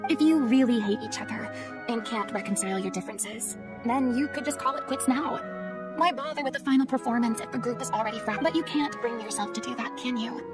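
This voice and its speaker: A woman's voice: fairly high pitch, brisk, loud music behind the voice, lightly acted. A woman playing a poised, commanding yet compassionate young woman in an anime game, speaking in articulate, theatrical phrases. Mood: stern, impassioned.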